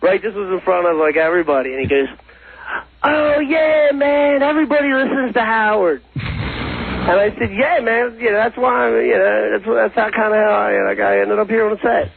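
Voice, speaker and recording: A man's voice: high-pitched, expressive, moderate pace, gravelly, a little hiss or hum. A man in his twenties calling in to a radio show, rambling through casual anecdotes in slangy slacker talk over the phone. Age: 20s. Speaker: phone-in recurring guest. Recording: muffled phone call on radio.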